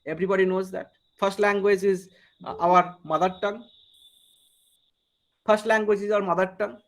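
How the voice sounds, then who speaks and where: Man, Indian English accent, high voice, teacher, quizzing the class, video call. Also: fairly even intonation, normal speed. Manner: asks the class questions, repeats words for emphasis. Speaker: English communication trainer. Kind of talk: live online workshop lecture.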